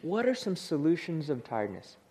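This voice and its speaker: A man preaching a sermon in a church with a soothing voice. He talks in a humorous way and acts out the people he describes. His pitch sits a little high and rises and falls a great deal. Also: sing-song, a little fast, a little mellow. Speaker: pastor.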